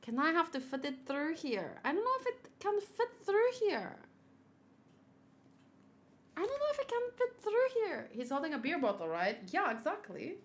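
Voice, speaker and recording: A woman's voice: sits high, big pitch swings, normal speed. A woman streamer chatting casually and giggling as she unboxes and assembles a figure, answering her chat.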